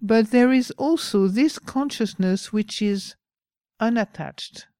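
A woman with a French accent giving a slow, pausing dharma talk as a meditation teacher. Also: a little high, warm tone, no echo, clean studio sound.

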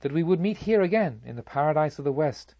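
Higher-voiced man reading solemn literary prose. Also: lively intonation, quick pace, gravelly, mellow, no room sound, lo-fi sound. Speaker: audiobook narrator. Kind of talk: measured literary audiobook narration.

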